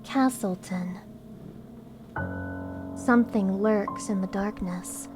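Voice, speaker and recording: A woman's voice: mid-range voice, takes it slowly, music in the background. A woman streamer giving jokey, sweary, exclamatory live reaction commentary.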